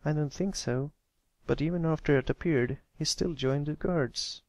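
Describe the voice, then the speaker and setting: Man voicing a shy young colt, slightly raised pitch. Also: moderate pace, no room sound, lightly acted. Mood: gentle, reassuring. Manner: gentle, hesitant, explanatory. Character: shy, earnest young male cartoon pony, comforting a friend.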